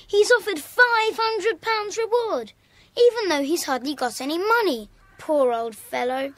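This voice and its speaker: Casting: earnest British schoolboy in children's audio play, high, lively voice, quick to indignation. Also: female voice, dry recording, full character voice. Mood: earnest, upset. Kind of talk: children's audio dramatisation acting.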